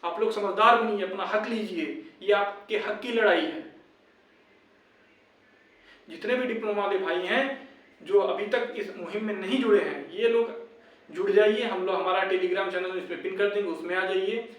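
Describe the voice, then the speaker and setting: High-pitched young man in a very echoey room. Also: moderate pace, fairly bright tone. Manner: insistent, repetitive, addresses viewers as brothers. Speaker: YouTube exam-update presenter. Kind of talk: informal advice and rallying talk to viewers. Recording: very echoey room, distant microphone.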